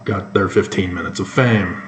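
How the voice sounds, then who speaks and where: A man with a North American accent giving informal, sarcastic news commentary, halting often mid-sentence. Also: low-pitched. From North America, moved around the United States and Canada. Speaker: solo commentator. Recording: echoey room with faint background noise.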